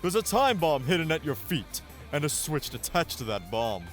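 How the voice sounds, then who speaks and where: A man's voice: on the high side, very animated, moderate pace. A man playing a menacing, vengeful game master boy in a taunting, theatrical audio manga style, with music behind. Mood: sinister taunting. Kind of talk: audio manga dramatic acting.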